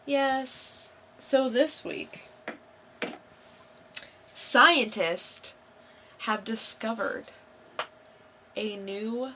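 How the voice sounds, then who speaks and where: A woman's voice: medium pitch, sharp tone, a little hiss or hum. A woman co-hosting a podcast, chatting casually and informally in a blunt way. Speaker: podcast co-host. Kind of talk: casual quiz banter.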